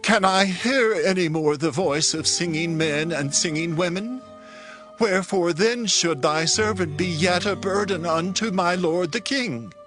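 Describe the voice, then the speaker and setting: A man's voice: high-pitched, measured pace, a bit bright, faint music behind. An actor playing a humble, weary man of eighty in a dramatized Bible audiobook, pleading in archaic scriptural phrasing. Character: humble, weary eighty-year-old man declining royal reward.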